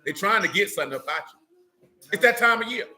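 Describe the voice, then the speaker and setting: A man's voice: high voice, lively intonation, fairly quick, sharp tone. A man with speech teaching a religious group informally, emphatic and streetwise, often checking in with his listeners.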